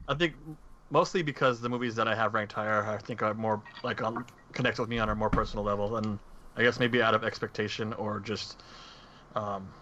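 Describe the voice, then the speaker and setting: A man with a forceful, fairly low voice chats as a podcast co-host. The recording is lo-fi, and he speaks in a casual discussion style. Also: fairly even intonation, fairly quick. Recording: dull lo-fi audio, faint noise.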